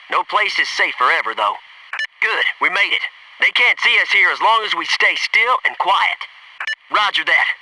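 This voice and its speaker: A man's voice: high-pitched, pushed and bright, recorded from a distance, slight background noise, heavily acted, low-quality recording. A man voicing a cocky, wisecracking Navy SEAL squadmate in a military shooter video game, brash and sarcastic. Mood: terse, focused. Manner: brash, jokey, sarcastic banter and crisp tactical calls.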